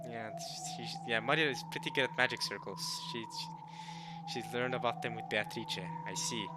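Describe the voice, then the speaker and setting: A man's voice: mid-range voice, moderate pace. Rambling male game streamer, non-native English speaker. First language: not English. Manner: rambling, repeats and rephrases himself, excitable bursts. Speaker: streamer playing and reading a visual novel. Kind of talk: casual let's-play commentary with reading aloud. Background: game music.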